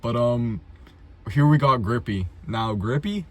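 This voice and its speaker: A man's voice: medium pitch, unhurried, fairly bright tone. A young adult man chatting casually and unscripted as a video host, blunt, self-deprecating and full of slang and swearing. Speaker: amateur filmmaker, video host.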